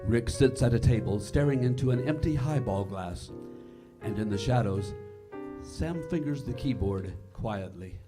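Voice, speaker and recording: A man's voice: medium pitch, lively intonation, unhurried. A man narrating as the host of a 1940s style radio drama. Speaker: announcer and narrator. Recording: live stage show with clear music.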